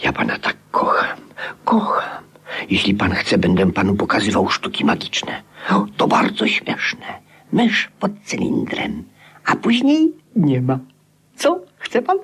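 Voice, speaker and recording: A grovelling author fawns and pleads with theatrical devotion. His husky voice is slow and swings widely in pitch, in the manner of old radio drama. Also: huge pitch swings, a bit bright, full character voice. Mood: desperate, fawning. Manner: fawning, imploring, theatrical. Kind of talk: 1950s Polish radio play acting.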